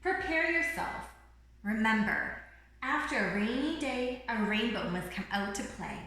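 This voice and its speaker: Casting: woman as small cheerful bird guide for a picture book, high-pitched, reassuring, echoing room. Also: lively intonation, a little slow, sharp tone. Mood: excited. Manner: bubbly, reassuring, exclamatory. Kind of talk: children's picture book read-aloud. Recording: roomy, echoing room sound.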